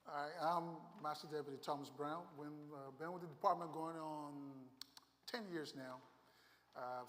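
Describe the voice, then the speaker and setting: A man's voice: mid-range voice, fairly bright tone. A man from Liberia, a sheriff's deputy, giving a conversational safety training talk with fillers. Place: church hall. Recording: long hall reverb.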